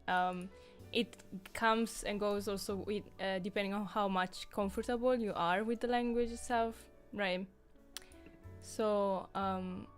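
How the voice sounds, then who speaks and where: A woman whose first language is Italian, co-hosting a casual live-stream chat and thinking aloud as she searches for the right words. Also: medium pitch. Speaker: co-host of a language-learning stream.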